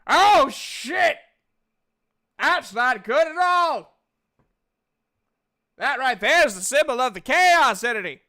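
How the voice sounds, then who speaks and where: A man voicing an excitable, blunt, foul-mouthed robot character in a tabletop game. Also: extremely high pitch, slow, long pauses, voice pushed up, full character voice. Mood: alarmed. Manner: exclamatory, swearing.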